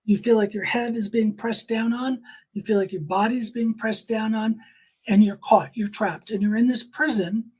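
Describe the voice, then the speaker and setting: A woman's voice: fairly high pitch, fairly even intonation, normal speed, a little mellow, slightly rough. A woman giving personal testimony in an interview, speaking emphatically and repeating phrases to drive points home.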